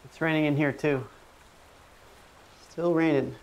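Higher-pitched man hosting a documentary. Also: fairly even intonation, measured pace, slight background noise, poor audio. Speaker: documentary host. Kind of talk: documentary narration and casual on-location interviewing.

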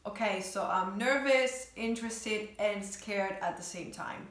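A woman's voice: mid-range voice, measured pace, music in the background. A woman in her twenties with an Italian accent, chatting casually to camera in a reaction video. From Italy. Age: 20s. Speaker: vlogger. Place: small bedroom indoors, sitting on bed. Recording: roomy, echoey bedroom sound.

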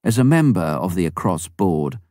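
A man with a very low voice reads a memoir as an audiobook, measured and earnest. The recording is close and dry, with no room sound. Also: sing-song, mellow, dry recording. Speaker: audiobook reader. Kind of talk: first-person memoir narration.

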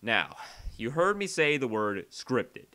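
A man narrates a software tutorial in a casual, conversational way. His voice is bright and forward, and his pitch moves around a lot. His energy is uneven as he steps through explanations and dwells on key words. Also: sing-song, takes it slowly, clear, resonant tone. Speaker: tutorial creator and presenter.

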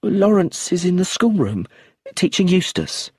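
A man voicing a frightened, high-pitched young widow. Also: expressive, a little fast, darkish tone, slightly rough, no room sound. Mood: suspicious. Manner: high, shaky, pleading.

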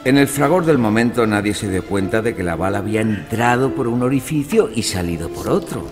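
A man playing a clever, self-assured gentleman who explains and narrates in a formal, measured style for an audio drama. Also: on the low side, lively intonation, over music, natural voice. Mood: explaining.

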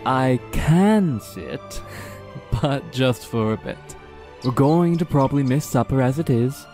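Man playing a lively, breezy young-spirited knight. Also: mid-range voice, slow pace, soft background music. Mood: easygoing. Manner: casual, breezy, teasing. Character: easygoing, hungry male dragon-riding knight lieutenant. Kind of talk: fantasy audio drama acting.

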